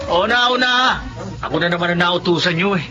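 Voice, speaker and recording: Casting: actor as jokey young Filipino pal, high-pitched, ribbing delivery, muffled radio drama. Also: male voice, brisk, music in the background, close to an everyday voice. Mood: teasing. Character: teasing, flattering young male buddy.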